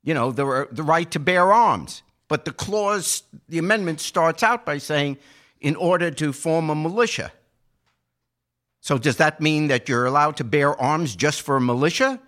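A man's voice: fairly high pitch, animated, a little slow, a bit bright. An American man hosting a podcast, arguing a political opinion emphatically and leaning on rhetorical questions. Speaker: podcast co-host.

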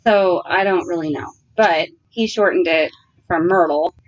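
A woman's voice: lowish voice, a little slow, muffled recording. A woman co-hosting a podcast, telling family stories in a playful, casual way. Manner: storytelling, playful. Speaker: podcast co-host. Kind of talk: casual chat.

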